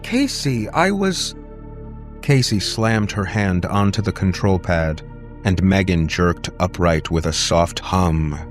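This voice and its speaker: A man reading a scripted story as a dramatic audiobook narrator, deliberate and suspenseful. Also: low voice, fairly even intonation, faint music behind.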